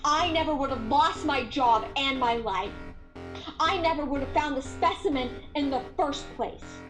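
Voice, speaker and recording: A woman's voice: high voice, normal speed, music in the background, lightly acted. A woman acting a guilt-ridden, weary, resigned but tender scientist in a radio play. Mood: bitter, fed up.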